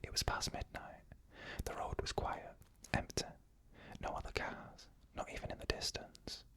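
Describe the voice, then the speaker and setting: An unvoiced ASMR whisper with a crisp, bright edge carries slow, eerie story narration. The phrases come short and clipped, hushed right up close.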